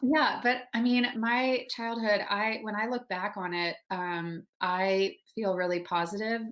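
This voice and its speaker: A woman's voice: mid-range voice, steady tone, unhurried, fairly bright tone. A woman in her thirties from Texas, a real estate agent chatting upbeat and casually as a podcast guest. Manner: upbeat, chatty, frequent laughs. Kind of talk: casual interview chat.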